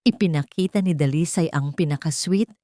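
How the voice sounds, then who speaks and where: Female audiobook narrator, slightly low voice, dramatic scripted storytelling, recorded close and dry. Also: a little fast, warm tone, dry recording.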